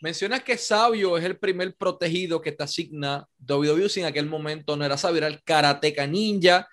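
A man interviews his guest in a high voice. He asks his questions with quick enthusiasm. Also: fairly bright tone.

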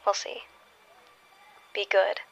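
A woman's voice: slow, highs cut off, lightly acted. An actress playing a wry, guarded female superhero in an audio drama, with terse, dry delivery. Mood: rattled, resolute.

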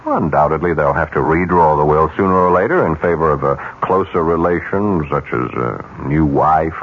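An actor playing a smooth, calculating broker of arranged murders in a 1970s radio drama, persuasive and businesslike. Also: male voice, rumbling low, lively intonation, moderate pace. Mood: smooth, matter-of-fact. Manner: businesslike, persuasive, coolly insinuating. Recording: muffled, noisy 1970s radio broadcast.

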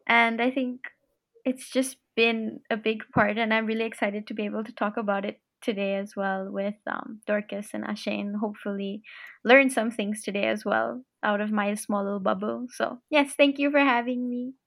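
A young woman from Trinidad and Tobago who is a university student, chatting as a podcast guest in a bubbly, quick-to-laugh way. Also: mid-range voice, fairly even intonation, vocal fry, a bit bright, no room sound. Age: young adult. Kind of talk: casual conversation.

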